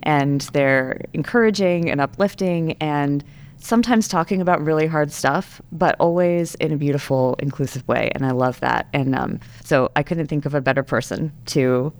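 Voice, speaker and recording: A woman's voice: sits low, normal speed. A woman hosting an interview podcast, chatty and enthusiastic as she talks with her guest. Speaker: podcast host. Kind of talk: casual interview chat.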